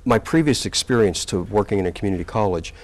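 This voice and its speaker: A man in his sixties with a deep, low-pitched voice. He talks through his career in a relaxed interview, often pausing on fillers and restarting his sentences. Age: 60s. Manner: halting, with many fillers and restarts. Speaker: community college president, guest.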